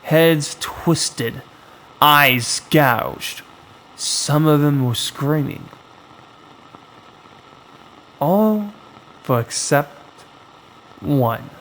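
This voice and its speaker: A man narrating a scripted horror story as its storyteller, in a dramatic, suspenseful way with long pauses. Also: fairly high pitch, lively intonation, a bit bright, a little hiss or hum, rough recording. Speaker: storyteller, host of a horror anthology.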